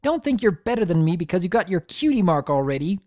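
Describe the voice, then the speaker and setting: Young colt brother played by a narrator: high, boyish, defiant, cartoon pony tale. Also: big pitch swings, fast talker, close to an everyday voice. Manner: loud, boyish, argumentative.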